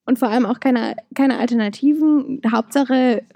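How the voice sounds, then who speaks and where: A young woman with a Berlin accent chats on her podcast in a rambling, easygoing way, full of little fillers and restarts. Her voice sits a touch high but sounds warm and mellow, and the recording is close and dry. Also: normal speed, gravelly, no room sound. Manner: chatty, many fillers and restarts, laughs often. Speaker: podcast co-host. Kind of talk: casual podcast chat.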